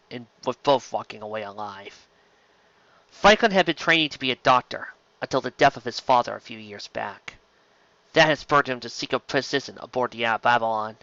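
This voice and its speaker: A man's voice: mid-range voice, animated, brisk, bright, forceful tone, dry recording, muffled recording. A man reading science fiction aloud as dramatic scripted narration. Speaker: reader of fan fiction.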